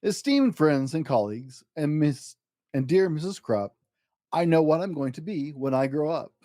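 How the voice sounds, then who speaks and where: A man's voice: fairly high pitch, normal speed, no echo, studio quality, natural voice. A man voicing an exuberant, boastful eight-year-old schoolboy in a theatrical, rhyming picture-book story. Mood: grandly boastful. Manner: excitable, grandiose, theatrical.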